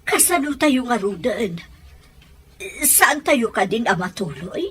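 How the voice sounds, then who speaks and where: Scheming, demanding woman played by an actress, somewhat high voice, questioning tone, audio drama. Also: very animated, slow pace, a bit bright, slight background noise, exaggerated voice. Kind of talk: Ilocano radio-style audio drama acting.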